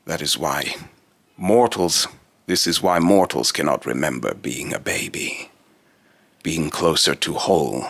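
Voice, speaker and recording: Man voicing a very deep, gravelly otherworldly immortal. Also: fairly bright tone, no echo, exaggerated voice. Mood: solemn, instructive. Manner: grandiose, archaic, halting delivery with repeated phrases. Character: solemn otherworldly immortal being with deep robotic electric voice. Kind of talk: dramatic character monologue.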